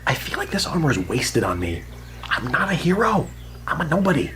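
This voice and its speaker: Goofy, animated hapless everyman. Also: on the high side, a little fast, background noise. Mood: excitable. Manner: goofy, flustered, sarcastic. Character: hapless, wisecracking everyman from Earth lost in fantasy land. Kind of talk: comedic cartoon acting. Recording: loud music behind.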